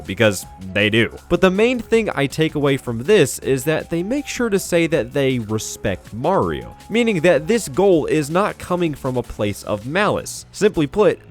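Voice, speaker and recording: Male video essayist, lively varied intonation, mid-range pitch, conversational, opinionated, emphatic, music underneath.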